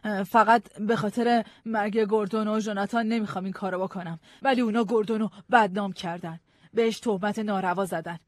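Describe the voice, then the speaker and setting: A man voicing a grieving widowed woman who speaks in a soft, insistent way. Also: high voice, steady tone, no room sound, voice pushed up, natural voice. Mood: determined.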